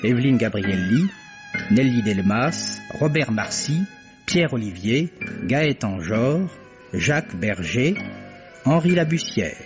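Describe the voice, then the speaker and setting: A man's voice: mid-range voice, sing-song, takes it slowly. Man announcing credits over music. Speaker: radio announcer. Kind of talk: reading programme credits. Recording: dull lo-fi archive radio with music.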